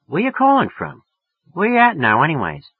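A man's voice: on the high side, huge pitch swings, normal speed, vocal fry, slightly rough, no echo, phone-quality sound, pitched above the performer's own voice, heavily acted. A man voicing a brassy, slangy young woman in a story, with a raised, affected feminine voice. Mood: friendly, coy. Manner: sharp, slangy, turning affectedly genteel. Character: brassy, irritable young working woman, formerly burlesque, turning coy.

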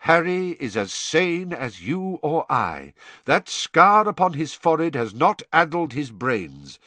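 A man voicing a calm, commanding old wizard headmaster who speaks coolly and with measured authority. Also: fairly high pitch, no room sound, pitched above the performer's own voice, heavily acted. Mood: grave. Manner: cool, measured.

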